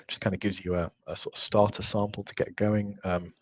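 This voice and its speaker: A man in his thirties with a croaky, hoarse voice, giving a relaxed webinar presentation from a home office over a headset. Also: fairly low pitch, a little fast, no echo. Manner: relaxed, informal, frequent fillers. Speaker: guest presenter.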